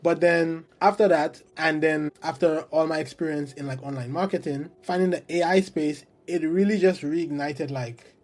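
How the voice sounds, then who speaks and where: A man's voice: on the high side, steady tone, moderate pace, hardly any pauses, a little mellow. A man in his twenties from St. Lucia, a guest talking earnestly and reflectively in a casual interview, often saying "like.". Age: 20s.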